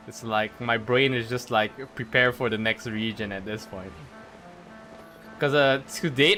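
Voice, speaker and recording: Male game streamer, bright forceful voice, mid pitch, casual commentary, music behind. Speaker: livestreamer.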